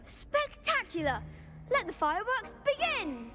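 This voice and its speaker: Confident young student, high-pitched, businesslike showman manner, fantasy game dialogue. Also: wildly swooping pitch, measured pace, music in the background, heavily acted. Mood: excited. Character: eager young student duel organiser, brisk and confident. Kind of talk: video game dialogue acting.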